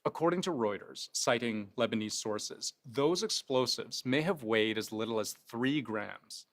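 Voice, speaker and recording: A man's voice: mid-range voice, expressive, a little slow, fairly bright tone. A man hosting a news explainer in a conversational scripted style, posing rhetorical questions and stressing key phrases. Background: faint music.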